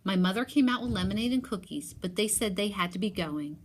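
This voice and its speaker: Female primary teacher for children's read-aloud. Expressive storytelling delivery, emphatic pauses, mid-range voice. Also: mid-range voice, rough recording. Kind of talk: animated picture-book read-aloud and talk to pupils.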